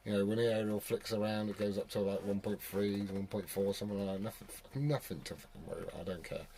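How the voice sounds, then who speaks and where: A man's voice: lowish voice, steady tone, quick pace, mellow, slight background noise, rough recording. A British man talking in an informal, sweary, rambling way, switching between casual chat and CB radio calls. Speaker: CB radio operator and vlogger.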